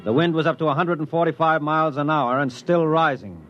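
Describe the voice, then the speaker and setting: A man's voice: a little high, brisk, lightly acted. An actor playing a resolute, practical spaceman in a 1950s radio drama, speaking decisively and dramatically. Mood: grave, tense. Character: resolute, practical spaceman narrating his storm ordeal. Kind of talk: 1950s radio drama acting, with first-person narration. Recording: muffled old radio recording with music.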